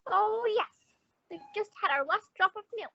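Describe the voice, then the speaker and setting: A woman's voice: very high-pitched, animated, moderate pace, creaky, heavily acted. A woman playing a fussy, chatty, good-natured landlady in an old-time radio comedy. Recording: dull, muffled audio.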